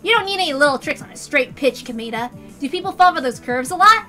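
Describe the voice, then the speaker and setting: A woman's voice: high voice, animated, quick pace, pushed and bright, faint music behind. A woman voicing a cocky, taunting teenage boy batter in a story reading, with sneering bravado.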